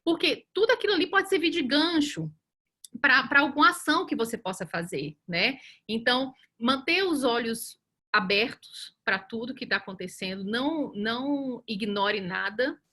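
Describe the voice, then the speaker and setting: A woman in her forties, a communications professional from Brazil, speaking as a panelist on a webinar in a chatty, animated way with many fillers and restarts. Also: on the high side, normal speed. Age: 40s. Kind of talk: casual webinar talk.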